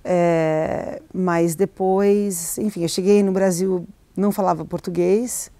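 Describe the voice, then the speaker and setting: A woman's voice: on the low side, steady tone, takes it slowly, dark tone, no room sound. A middle-aged woman, an author raised in Argentina, answering interview questions in a hesitant, reflective way with many restarts and fillers. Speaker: novelist, interview guest.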